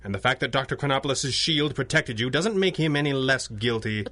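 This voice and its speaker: An actor playing a resolute, articulate playboy crime fighter in a 1930s-style radio drama, measured and wry. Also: male voice, medium pitch, lively intonation, sharp tone. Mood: grimly resolute. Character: suave, resolute masked hero, wry billionaire playboy.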